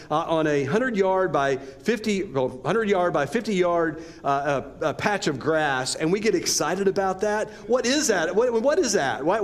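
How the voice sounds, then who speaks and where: A man with an American accent giving a conversational sermon, repeating rhetorical questions and often checking in with his listeners. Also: high voice. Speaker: preacher.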